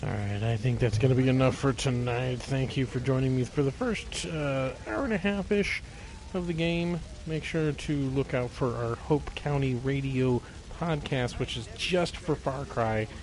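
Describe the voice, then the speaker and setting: A man's voice: mid-range voice, normal speed, darkish tone, a little hiss or hum, poor audio. A man giving casual live gameplay commentary, playfully talking to the game and its characters as he plays. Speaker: game streamer.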